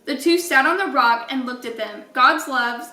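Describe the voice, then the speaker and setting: High-pitched woman reading aloud, echoey room. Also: expressive, moderate pace, bright, forceful tone, recorded from a distance. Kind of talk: expressive read-aloud storytelling for children.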